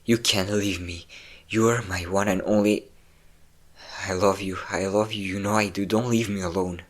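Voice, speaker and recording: A man's voice: fairly low pitch, steady tone, normal speed, close to an everyday voice. A man playing a possessive, obsessive vampire lover in an intimate, dramatic roleplay audio drama. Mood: desperate pleading.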